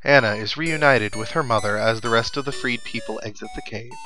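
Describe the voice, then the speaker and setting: A man talks through a video game in a casual, chatty let's-play style. His voice sits at an ordinary pitch and he drops wry asides as he goes. Game music plays clearly behind him. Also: a little fast. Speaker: let's player.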